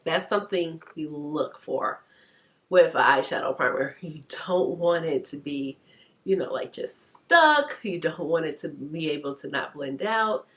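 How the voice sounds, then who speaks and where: A woman's voice: low voice, a bit bright. A congested woman with a stuffy nose, chatting casually through a makeup tutorial and laughing often. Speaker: beauty vlogger.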